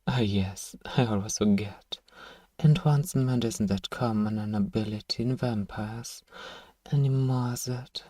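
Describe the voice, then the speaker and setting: A man playing a powerful, manipulative elder vampire in an ASMR roleplay, speaking in a hushed, taunting and condescending way. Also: lowish voice, fairly even intonation, dry recording, clean studio sound, recorded from a distance. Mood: hypnotic, condescending. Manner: slow, hushed, taunting, condescending, lapses into whispers. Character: powerful elder male vampire, suave, menacing, manipulative seducer.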